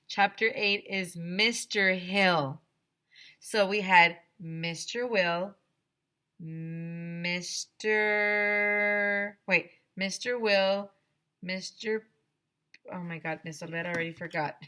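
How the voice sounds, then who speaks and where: A woman teacher reading a children's book aloud in an expressive way, as if talking directly to young listeners. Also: on the low side. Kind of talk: animated storybook read-aloud.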